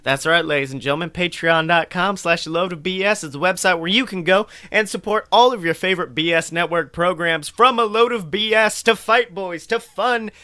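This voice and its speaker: A man co-hosting a podcast, casually bantering and swearing, with jokey, animated reactions. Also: sits high, a little fast, few pauses, no echo. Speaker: podcast co-host. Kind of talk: casual banter and mocking fan fiction read-aloud.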